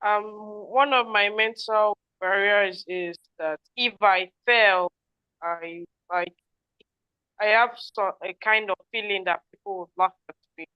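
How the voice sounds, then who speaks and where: A polite woman student answers her teacher with some hesitation. Her voice is smooth and clear. The call audio is dry and slightly muffled. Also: mid-range voice, slow, dry recording.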